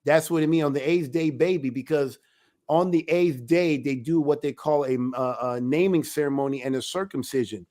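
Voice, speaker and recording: Emphatic man, high-pitched. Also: moderate pace. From United States. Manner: emphatic, animated storytelling. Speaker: elder of a ministry. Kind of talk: religious teaching talk.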